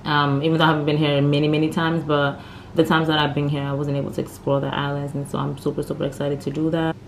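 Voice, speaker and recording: A young woman from Guinea-Bissau chatting playfully to her viewers on a travel vlog, her voice a bit hoarse. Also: sits low, little pitch movement, fast talker, a little hiss or hum. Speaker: travel vlogger. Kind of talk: casual vlog chat to camera. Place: hotel room and lobby at night. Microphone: handheld phone camera.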